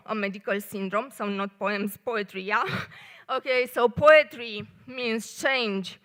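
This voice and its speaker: A woman from Romania with a Romanian accent, an engineer giving a short live talk at a café event, speaking carefully with many pauses. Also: a little high, fairly bright tone, smooth. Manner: careful non-native phrasing with many pauses.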